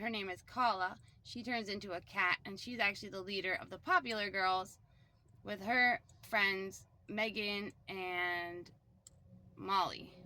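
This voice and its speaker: A woman's voice: medium pitch, sharp tone, slight background noise. A woman talking casually and informally, telling an improvised story in a rambling way with plenty of filler "like".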